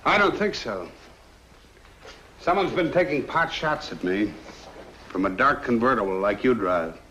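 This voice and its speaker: A noisy old film soundtrack carries a sharp private detective. He talks in a dry, wry, measured way, at an ordinary middle pitch, in classic 1950s noir style. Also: lively intonation. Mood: wry, probing. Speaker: film actor.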